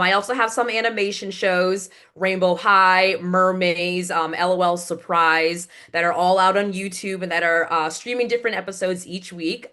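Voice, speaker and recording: A woman voiceover actress speaking as an interview guest, with a powerful voice and fast, crisply enunciated speech over a video call. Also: fairly low pitch, fairly bright tone. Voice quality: powerful, clear voice. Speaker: voiceover actress and award show announcer.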